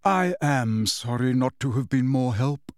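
A man voicing a melancholy, gentle ghost of a wizard in a storybook reading, speaking slowly and hesitantly in formal phrases. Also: on the high side, expressive, no room sound, clean studio sound. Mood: gentle, sad.

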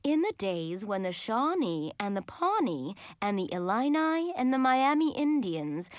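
Lady doing a calm dreamy hippie woman for a kids audiobook, soothing n slow, earnest, really dry sound. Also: on the high side, no room sound. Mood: serene, instructive. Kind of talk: children's audiobook character voice.

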